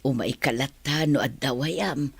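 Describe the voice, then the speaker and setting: A woman's voice: rumbling low, a little slow, no echo, exaggerated voice. A woman playing an ailing, plaintive old mother who longs for her child in an Ilocano radio drama. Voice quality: husky, creaky.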